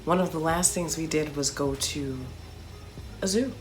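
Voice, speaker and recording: A woman from Chicago, a comedian, narrating a travel story in a chatty, animated storytelling way with playful asides. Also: low voice, unhurried, a little hiss or hum. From Chicago, United States.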